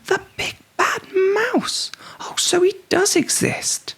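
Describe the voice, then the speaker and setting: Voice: very high-pitched, steady tone, takes it slowly, gravelly, a bit bright, in a whisper, pitched above the performer's own voice, exaggerated voice. A reader voicing a young female monster child, brave and curious, with eager childlike questioning, in a children's storybook. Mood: alarmed.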